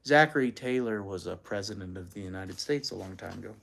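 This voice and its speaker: A host reading a children's book aloud in an animated, folksy way, pausing for chatty explanations. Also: sits low, gravelly. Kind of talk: storybook read-aloud with chatty asides.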